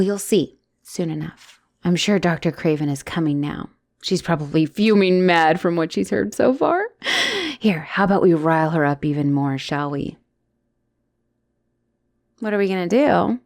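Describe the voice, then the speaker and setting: A woman's voice: lowish voice, gravelly. A woman playing an obsessive, lovestruck asylum patient in a dramatic roleplay audio, theatrical and doting with a menacing edge. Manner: theatrical, doting, swinging between gushing and hushed menace.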